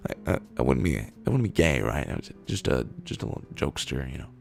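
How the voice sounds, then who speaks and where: A man with a hoarse, gravelly voice playing a defensive, bragging teenage football jock who stammers and blusters in a comic reading. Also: very deep voice, big pitch swings, fast, faint music behind, heavily acted. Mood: nervous bluster.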